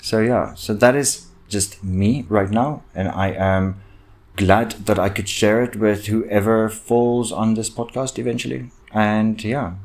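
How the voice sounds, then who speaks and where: A young man with a very deep voice, a native Afrikaans speaker, talks through his worries on a solo podcast. He rambles and halts, restarting sentences and filling gaps with ums, sounding anxious and inward-looking. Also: steady tone, unhurried, darkish tone. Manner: anxious, self-reflective. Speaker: podcast host. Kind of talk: solo personal podcast rant.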